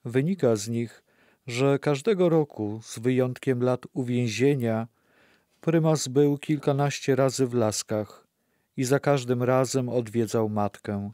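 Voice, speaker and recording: A man's voice: medium pitch, measured pace, mellow, no echo, clean studio sound. A man narrating a scripted documentary audio play.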